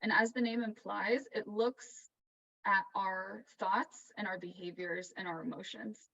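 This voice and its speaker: Soft-spoken woman therapist explaining things calmly, sounds far off and muffled over a video call. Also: medium pitch, creaky. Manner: calm, explanatory, measured. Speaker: therapist and author. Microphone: video call audio.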